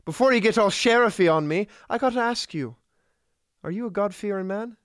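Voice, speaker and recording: Man acting a high-pitched, cocky Western outlaw. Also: fairly quick, a bit bright, dry recording, clean studio sound. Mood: defiant, preachy. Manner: taunting, preachy. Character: cocky, menacing God-invoking male Wild West outlaw gunslinger. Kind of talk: audio play acting.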